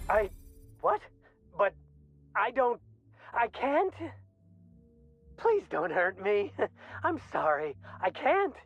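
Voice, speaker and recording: A jittery company mascot in a comic video game. The delivery is folksy, flustered and theatrical, swinging widely in pitch. The sound is dull and slightly muffled. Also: female voice, wildly swooping pitch, very slow, long pauses, husky, faint music behind, heavily acted. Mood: frightened pleading.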